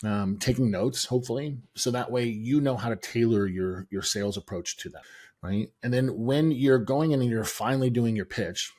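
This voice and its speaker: A middle-aged American man, a guest in an interview, explaining sales steps in a measured way with many "um" pauses. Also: medium pitch, a little mellow. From United States. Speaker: guest, sales and software founder.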